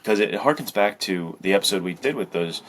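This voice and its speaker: A man's voice: lowish voice, lively intonation, fast talker, fairly bright tone, slight background noise. A man co-hosting a podcast, speaking casually in an earnest, reflective way. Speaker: podcast co-host and fiction writer.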